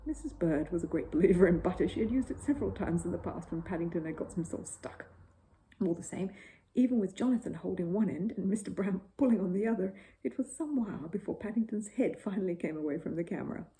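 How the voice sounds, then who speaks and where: A woman narrates a children's bedtime story. Her tone is mellow and soft-edged, and her pitch moves expressively up and down as the scripted reading goes along. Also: animated, fast talker, low-quality recording.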